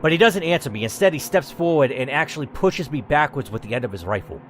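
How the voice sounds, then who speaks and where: A man's voice: a little high, animated, quick pace, fairly bright tone, slight background noise. A man hosting a gaming video, narrating a text adventure theatrically, with casual, blunt, sweary asides.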